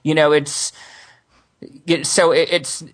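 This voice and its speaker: A man in casual conversation, thoughtful at times and deadpan with dry jokes. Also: fairly high pitch, slow. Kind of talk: casual chat.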